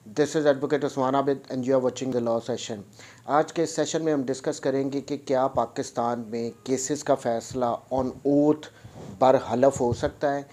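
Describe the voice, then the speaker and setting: A man's voice: mid-range voice, warm tone. A man with a Pakistani accent gives an explanatory legal lecture, didactically restating points in other words and mixing in English terms. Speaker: advocate presenting a law lecture.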